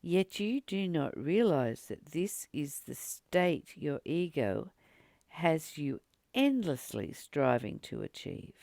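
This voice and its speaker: This spiritual teacher speaks at a very unhurried pace, with plenty of fry in a mid-pitched voice. The delivery is earnest and reflective, breaking thoughts into short, deliberate phrases. The sound is close and dry. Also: a little mellow, dry recording. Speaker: spiritual teacher reading and commenting on a text. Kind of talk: reflective spiritual study, reading aloud with personal commentary.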